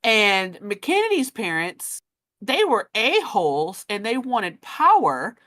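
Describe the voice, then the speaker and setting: A woman's voice: mid-range voice, sing-song, slow, a bit bright. A woman chatting casually about a show in a slangy, playful, amused way.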